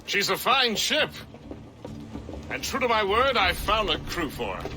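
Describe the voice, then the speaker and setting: A man's voice: high-pitched, big pitch swings, measured pace, pushed and bright, full character voice. An actor playing a jaunty, wry male adventurer from colonial times, friendly and talkative, in a video game drama.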